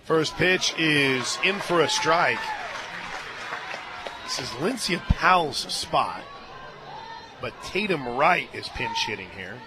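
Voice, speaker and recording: An American man works as a radio play-by-play announcer for softball, with a fairly high voice. He is conversational and folksy between pitches, then punchy on the calls. A stadium crowd is clearly audible behind his broadcast mic. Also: expressive, slow, pauses often, noisy background. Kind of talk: live softball radio commentary with casual banter.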